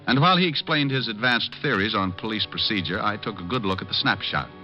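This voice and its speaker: A low-voiced, wry private detective, played by a man in a vintage radio crime show. He is breezy and quick with a wisecrack. The recording is muffled, with music around it. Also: natural voice. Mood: wry narrating. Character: breezy wisecracking male private detective narrating his case. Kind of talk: 1950s radio detective drama acting and narration.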